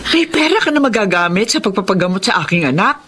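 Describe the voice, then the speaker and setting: A woman playing an anxious, grateful elderly mother-in-law in a Filipino drama, fussy, halting and emotional. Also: mid-range voice, big pitch swings, fairly bright tone. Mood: surprised, relieved. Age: older. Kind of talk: Filipino radio drama acting. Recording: clear background noise.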